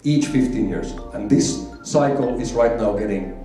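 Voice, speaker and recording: A man's voice: on the low side, a little slow, mellow, soft background music. A man from Finland giving an emphatic conference presentation, repeating key figures for effect. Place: large conference hall. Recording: echoey hall, heard from far off.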